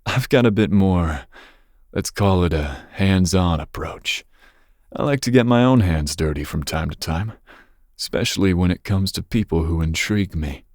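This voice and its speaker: A man playing a dangerous, possessive young mafia boss's son in an intimate ASMR roleplay, speaking breathily with smooth, teasing menace. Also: normal speed, a little mellow, dry recording, studio quality, lightly acted. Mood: smug, menacing. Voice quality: breathy, husky low voice. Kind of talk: ASMR roleplay, intimate second-person monologue.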